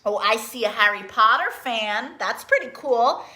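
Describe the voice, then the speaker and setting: A woman hosting a live online story time, talking directly to young children in a sing-song, animated manner as a librarian who reads and teaches songs. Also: a little high, normal speed. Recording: roomy, echoing sound.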